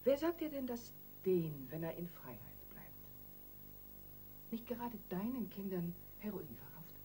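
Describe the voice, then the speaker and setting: A woman's voice: mid-range voice, sing-song, a little slow, warm tone, a little hiss or hum. An actress in a German radio crime drama playing a calculating, insistent wife who works on her husband with emphatic persuasion.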